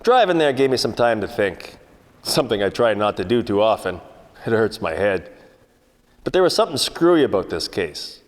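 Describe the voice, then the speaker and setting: An actor playing a wisecracking, world-weary 1940s private eye, narrating in a wry, hard-boiled radio-parody style. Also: male voice, fairly low pitch, sing-song, normal speed. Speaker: actor narrating the lead part. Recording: long hall reverb, music underneath.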